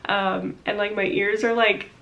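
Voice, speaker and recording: A woman's voice: mid-range voice, little pitch movement, a little slow, gravelly, fairly bright tone, dull audio. A young woman in her twenties chatting casually to camera in her home office, congested and a little short of breath, rambling with lots of fillers. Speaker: vlogger. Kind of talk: casual vlog chat. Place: small home office, indoors.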